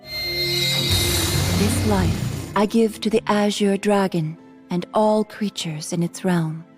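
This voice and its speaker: A woman's voice: mid-range voice, steady tone, slow pace, soft background music, natural voice. An actress playing a stern, dutiful female royal guard commander in a video game, formal and solemn. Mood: solemn resolve. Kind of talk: dramatic video game acting.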